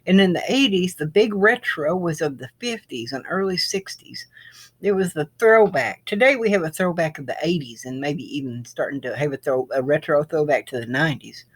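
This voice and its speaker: A woman's voice: deep voice, animated, moderate pace, husky. A middle-aged woman giving an informal, rambling explanation to viewers, often circling back to repeat her phrases. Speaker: video creator.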